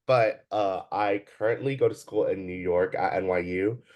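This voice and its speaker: A man's voice: sits low, normal speed, darkish tone. A young man from the Bay Area answering interview questions casually, hesitant, with many fillers and restarts. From Bay Area, California, USA. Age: young adult. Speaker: student interviewee.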